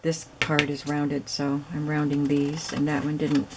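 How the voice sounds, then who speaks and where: A woman hosting a crafting video, hoarse and raspy from lack of sleep, chatting casually as she works. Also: low-pitched, a little slow, noisy background. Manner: relaxed, chatty, thinking aloud while working. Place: home craft room.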